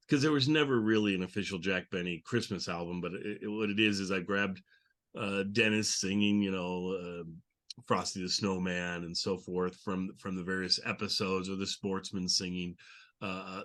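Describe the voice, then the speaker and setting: A man's voice: sits low, steady tone, normal speed, a bit bright. A man hosting a podcast in a casual, conversational style. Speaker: podcast host.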